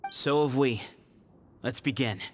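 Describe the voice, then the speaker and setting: An actor playing a confident, cocky young duelist in a video game, speaking in an assured, breezy way. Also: male voice, medium pitch, a little slow, a little hiss or hum, close to an everyday voice.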